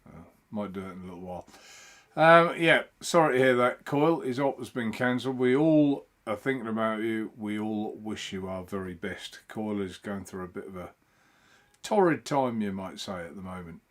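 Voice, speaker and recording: A man hosting a live stream from his home, talking in a loose, rambling way. His pitch rises and falls a lot as he greets viewers by name one after another. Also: animated, moderate pace, a bit bright. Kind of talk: casual live-stream chat.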